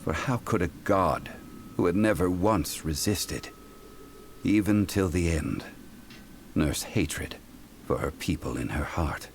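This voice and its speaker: An actor playing a calm, solemn, learned man in a video game, speaking in a formal, grave manner. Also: sits low, slow pace, long pauses, slight background noise, full character voice. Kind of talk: scripted video game voice acting.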